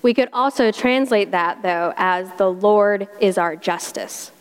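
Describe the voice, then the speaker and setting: A woman with a South Carolina accent delivers a sermon in a folksy, conversational way, with homey Southern turns of phrase. Her voice sits at a middle pitch for a woman. A long reverb trails each phrase, as if she is speaking in a church sanctuary. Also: measured pace, smooth. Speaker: preacher.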